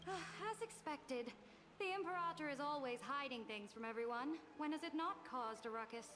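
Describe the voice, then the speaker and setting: A woman's voice: sits high, animated, moderate pace. An actress playing a skeptical, grumbling townswoman in a video game, disapproving in tone, with music behind her. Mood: resentful. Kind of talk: video game character dialogue.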